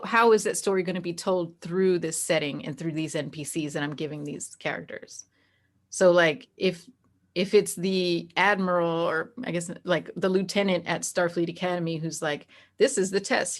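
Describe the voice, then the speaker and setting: A woman with a fairly low voice talks casually as the guest in an interview. She works through her points out loud, often acting out example thoughts as she goes. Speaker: interview guest, tabletop game master. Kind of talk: casual, conversational interview talk.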